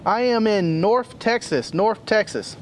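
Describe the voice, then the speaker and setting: Male radio hobbyist, 30s, high-pitched, casual on-air chat, outdoors with strong noise. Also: animated, measured pace. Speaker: amateur radio operator and vlogger. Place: outdoors in a backyard patio. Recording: very noisy outdoor camera sound.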